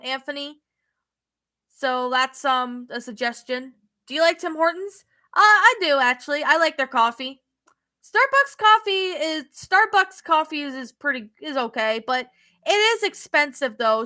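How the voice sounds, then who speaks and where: A woman's voice: high voice, sharp tone. A young woman with a Canadian accent, chatting casually on a live stream and answering viewers' comments with many ums and restarts. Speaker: streamer reading chat.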